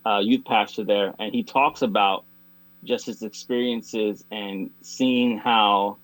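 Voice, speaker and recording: A man's voice: lowish voice, steady tone, slow, fairly bright tone, no room sound, low-quality recording. A man as an interview guest, talking casually and explaining his points with analogies. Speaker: interview guest, music artist.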